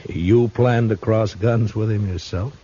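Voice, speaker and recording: A low mellow american lawman, calm and dry, old western radio show. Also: unhurried, breathy edge. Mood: amused, patient. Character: calm, dry-witted frontier marshal, authoritative. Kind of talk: old-time western radio acting and narration. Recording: muffled old radio recording.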